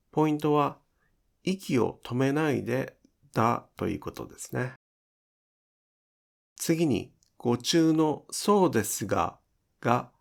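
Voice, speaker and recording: A man teaching pronunciation in an instructional style, enunciating deliberately and carefully for language learners. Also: mid-range voice, gravelly. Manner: deliberate, careful enunciation with demonstrated example words.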